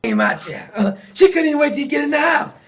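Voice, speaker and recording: A man's voice: very high-pitched, wildly swooping pitch, quick pace, a bit bright. A man giving an informal Bible study sermon, retelling scripture in a folksy, emphatic storytelling way. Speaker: preacher in a video being watched.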